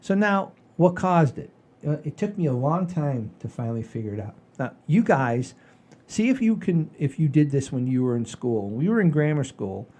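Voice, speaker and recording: An older man hosting a podcast, telling stories in a folksy, rambling, animated way with a husky voice. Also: mid-range voice. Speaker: podcast host. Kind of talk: casual chat and storytelling.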